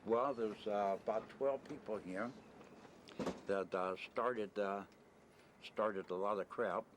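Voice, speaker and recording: A man's voice: fairly low pitch, steady tone, slow pace. An older American man in a casual interview, rambling in a blunt, plain-spoken way with mild swearing. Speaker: interviewee, retired merchant seaman. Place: on location at a desert camp, ambient noise. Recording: noisy 1990 amateur videotape sound.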